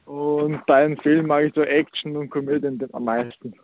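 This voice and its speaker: A man's voice: a little high, fairly even intonation, normal speed, slight background noise. A nineteen-year-old man from Austria, a young tennis player, answering interview questions hesitantly and repeating filler words. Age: teens. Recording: tinny phone-like line.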